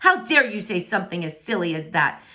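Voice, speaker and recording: A woman's voice: fairly low pitch, sing-song, sharp tone. A woman voicing a vain, pompous prince in a rhyming storybook, haughty, theatrical and easily outraged. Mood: scornful outrage. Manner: haughty, theatrical, exclaiming, drawn-out emphasis. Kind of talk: storybook read-aloud in rhyming verse.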